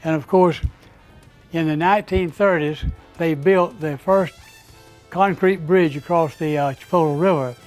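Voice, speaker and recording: A man, slowly recalling his hometown's past, pausing to remember names. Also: fairly high pitch, faint music behind, rough recording. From Florida. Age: 90s. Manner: slow, recollecting, with pauses to recall names. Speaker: interviewee. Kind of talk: oral history reminiscence.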